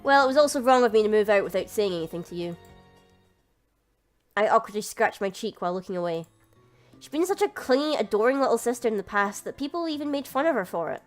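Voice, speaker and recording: A woman's voice: on the high side, animated, fast, faint music behind, natural voice. A woman reading a visual novel aloud, voicing a sheepish young man who narrates and argues with his family. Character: young adult man, a flustered older brother who narrates.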